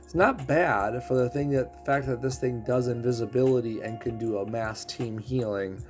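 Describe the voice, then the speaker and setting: A man casually explaining a game character's abilities, throat rough as he is losing his voice. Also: medium pitch, normal speed, darkish tone, soft background music. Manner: explanatory, walks through ability text. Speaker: game streamer.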